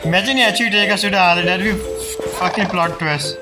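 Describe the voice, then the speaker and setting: A woman's voice: mid-range voice, fast talker, over music. A woman doing casual, playful, blunt playthrough commentary as a game streamer, in short interjections. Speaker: game streamer reacting to dialogue.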